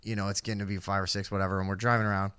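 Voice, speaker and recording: A man's voice: low-pitched, little pitch movement, rapid-fire, fairly bright tone, smooth, dry recording. A man co-hosting a talk show, telling comedic stories casually with plenty of swearing and slang. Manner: profane, slangy.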